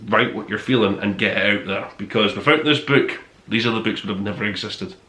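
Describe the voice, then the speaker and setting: Male review-show host, bright forceful voice, gushing and emphatic, recorded in a roomy space. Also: a little high. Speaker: host of a book review podcast. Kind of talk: casual review to camera, top five countdown. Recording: roomy, echoey indoor sound.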